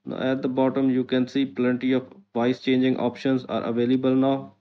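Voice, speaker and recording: Flat-toned male tutorial narrator, muffled audio. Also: mid-range voice, normal speed. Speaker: host of a tech tutorial channel.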